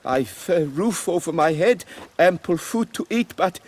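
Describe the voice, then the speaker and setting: A man's voice: on the high side, expressive, a little slow, a little hiss or hum. An actor playing a proud but servile nobleman winemaker in a video game, speaking formally and with deference. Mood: contrite. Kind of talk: dramatic video game acting.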